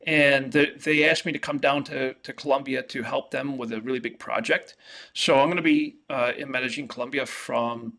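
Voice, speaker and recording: Bright-voiced New York professor, halting lecture. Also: male voice, medium pitch, steady tone. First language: Italian. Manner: halting, many fillers and restarts. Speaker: economics professor. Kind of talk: virtual classroom lecture. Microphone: video call.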